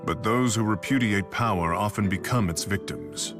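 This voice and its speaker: A man's voice: lowish voice, moderate pace, close to an everyday voice. An actor playing a stern, resolute king in a video game, terse and authoritative. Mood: commanding. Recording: loud music behind the voice. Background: loud background music.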